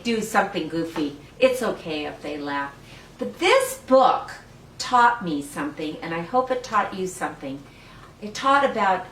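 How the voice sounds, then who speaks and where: Older female children's storyteller, playful, simple and encouraging delivery, mid-pitched, recorded in a roomy space with faint noise. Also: fairly bright tone, distant mic. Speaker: children's story time host. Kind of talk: read-aloud storytelling with chat to young children.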